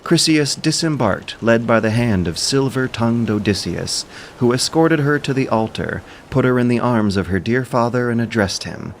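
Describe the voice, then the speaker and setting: A man giving a solemn, measured, dramatic narration of an ancient epic as a storyteller. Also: medium pitch, expressive, slight background noise.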